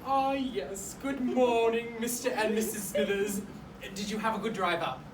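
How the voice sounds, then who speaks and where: A woman's voice: fairly high pitch, unhurried, lightly acted. A woman playing a pompous, awkward boarding school headmaster in a comic monologue, with fussy, rambling formal politeness. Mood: fussily genial. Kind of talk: comic monologue in a speech competition performance. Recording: roomy, distant mic, clear background noise.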